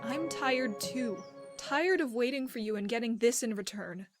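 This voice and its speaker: A woman's voice: fairly high pitch, lively intonation, unhurried, loud music behind the voice. A woman playing a weary, hurt wife in a game drama, pleading and reproachful. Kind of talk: dramatic game cutscene acting.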